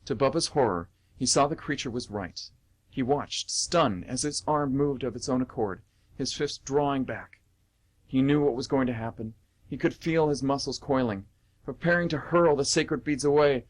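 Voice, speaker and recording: A man's voice: mid-range voice, moderate pace. A man reading dramatic story narration as the narrator of an amateur radio play.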